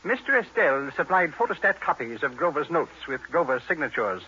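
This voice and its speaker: A man's voice: fairly high pitch, sing-song, brisk, pushed and bright, breathy edge, heavily acted. An actor in an old radio drama playing a wealthy, haughty man of sixty with a formal, precise manner. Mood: businesslike. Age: 60s. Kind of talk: 1940s radio drama acting. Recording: dull vintage radio recording.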